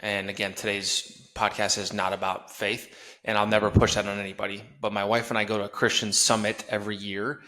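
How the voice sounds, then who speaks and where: A man's voice: on the low side, flat delivery, brisk, few pauses, bright, forceful tone, low-quality recording. A man with a Wisconsin accent hosting a podcast, talking earnestly and conversationally and asking follow-up questions. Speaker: podcast host. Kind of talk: casual podcast interview.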